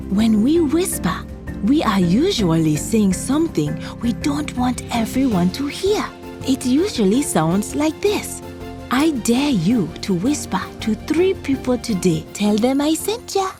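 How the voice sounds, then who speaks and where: A woman's voice: a little high, big pitch swings, slow, music in the background. A woman hosting a children's folktale podcast, telling a scripted story theatrically and speaking straight to young listeners.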